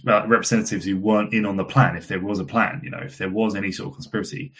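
A hoarse man speaking as a guest in a casual podcast discussion, chatty and full of fillers and restarts, losing his voice from a cough. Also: fairly low pitch, a bit bright. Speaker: guest commentator.

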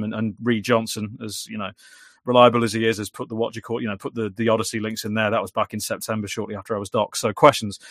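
A man's voice: mid-range voice, a bit bright, no echo, clean studio sound. A British man with a droning voice, chatting casually as a guest and rambling with many restarts. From Britain. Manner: rambling, repeats words when restarting. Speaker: YouTuber guest. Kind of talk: casual chat.

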